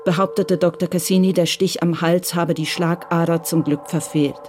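A woman narrating a radio crime drama in a calm, measured way. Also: lowish voice, a little hiss or hum.

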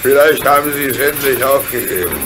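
A man playing a calm, fearless, good-natured engine driver in a German radio play, speaking reassuringly. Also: mid-range voice, brisk, noisy background, natural voice.

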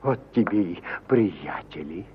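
An actor playing a garrulous, hospitable provincial judge who is easily astonished, in a husky voice, in 1950s Soviet radio theatre style. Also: male voice, expressive, exaggerated voice. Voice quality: husky, high-pitched. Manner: rambling, drawn-out, swooping exclamations. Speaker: actor in an audio play. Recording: muffled 1950 radio play recording.

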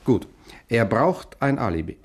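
An actor playing a calm, reasoning journalist turned sleuth, in a 1960s radio drama. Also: male voice, medium pitch, a little slow. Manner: calm, reasoning, lightly amused.

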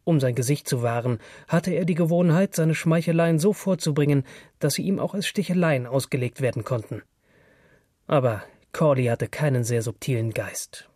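A man's voice: fairly high pitch, moderate pace, mellow, no room sound, clean studio sound. A man reading literary prose as a narrator, in a scripted storytelling style.

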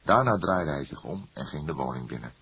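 A man narrating a crime novel in a measured, dramatic storytelling manner. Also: very deep voice, expressive, a bit bright, slightly rough. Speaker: audiobook narrator.

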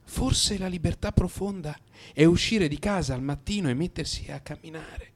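An actor playing a troubled, melancholy man in crisis in a radio drama monologue, brooding and introspective, blaming himself in halting, repeated phrases. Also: fairly high pitch. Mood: despondent, self-blaming.

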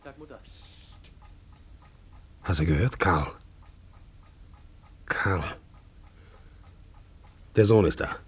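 An actor playing a stern, brooding older father in a German radio drama, muttering haltingly and repeating words. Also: sits low, lively intonation, a little hiss or hum, heavily acted. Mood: suspicious, muttering. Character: brooding, stern old father torn by duty.

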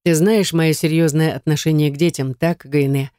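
A female reader plays a stern man in love. The voice is low and muted, serious and commanding as it persuades. It is a close, dry audiobook reading. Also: steady tone, moderate pace, dark tone, dry recording, close to an everyday voice. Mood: stern, persuasive.

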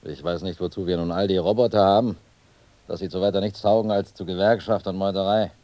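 An actor playing a loyal, grumbling ship's officer in a radio play, earnest and dutiful. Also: male voice, deep voice, steady tone, fairly quick, mellow, dry recording, close to an everyday voice. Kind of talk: radio drama acting.

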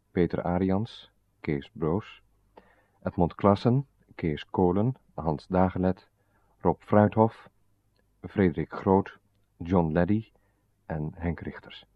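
Low-voiced male radio announcer. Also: takes it slowly, no room sound. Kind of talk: formal scripted announcement. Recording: slightly dull old radio recording.

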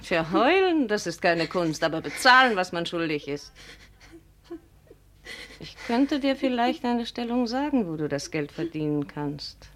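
A woman's voice: lowish voice, very animated, unhurried. Hard, grasping landlady. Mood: sly, coaxing. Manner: sharp, bullying, insinuating. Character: hard, grasping, scheming lodging-house landlady. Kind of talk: 1950s German radio play acting.

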